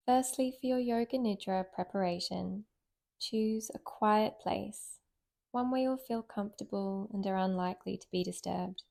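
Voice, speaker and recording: A woman leading a guided relaxation in a gentle, soothing, unhurried way with long pauses. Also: mid-range voice, creaky. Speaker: meditation guide, yoga nidra teacher.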